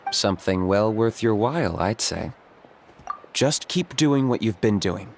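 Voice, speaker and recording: Cool, low-voiced young hunter. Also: male voice, animated, measured pace, a little hiss or hum. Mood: cool, matter-of-fact. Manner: cool, matter-of-fact, dry. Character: aloof young male hunter for hire, calm and pragmatic. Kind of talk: video game voice acting. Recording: music behind.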